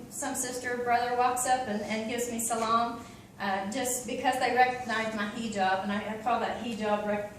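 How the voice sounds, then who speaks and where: A woman's voice: fairly high pitch, very echoey, distant mic, a little hiss or hum, low-quality recording. A woman from Oklahoma with an Oklahoma accent, talking in a chatty, open way with many ums and you-knows. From Oklahoma, USA. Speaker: convert giving a talk. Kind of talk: casual personal talk.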